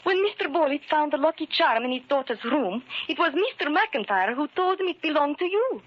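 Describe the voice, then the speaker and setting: A devoted, anxious wife with a high, swooping voice. She is emotional and pleading in old radio-melodrama fashion. The sound is that of a muffled 1940s broadcast with some noise. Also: animated, fairly quick. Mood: worried. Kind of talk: 1940s radio drama acting.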